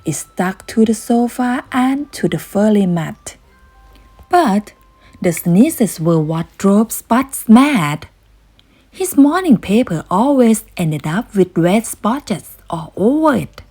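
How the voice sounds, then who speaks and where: A woman reading a children's bedtime story aloud in an expressive narrating style. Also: mid-range voice, dry recording, faint music behind. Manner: slow, animated read-aloud delivery.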